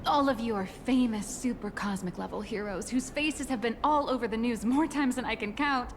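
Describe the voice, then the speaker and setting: A woman playing a gushing, overfamiliar young corporate agent in a video game, breezy and quick to apologise. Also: a little high, slight background noise, close to an everyday voice. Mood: breezy, cheerful.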